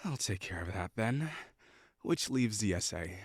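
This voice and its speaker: A man's voice: lowish voice, lively intonation, unhurried, no room sound, studio quality. A young man voicing a prickly, condescending perfectionist classmate in an audio roleplay, talking in a bossy, sarcastic way. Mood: cold, condescending. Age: young adult. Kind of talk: scripted roleplay acting.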